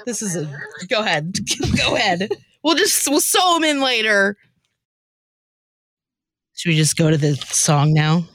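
A woman's voice: mid-range voice, very animated, a little slow, pauses often, fairly bright tone, dry recording, clean studio sound. A woman co-hosting a podcast in playful casual chat, with a joking, giggly manner. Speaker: podcast host.

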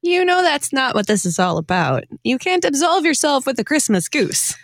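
Woman acting a wry, lively female figment. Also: high voice, normal speed, no echo, clean studio sound. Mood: matter-of-fact. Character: teasing, matter-of-fact female figment ghost guiding a sinner. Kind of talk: comedic radio play acting.

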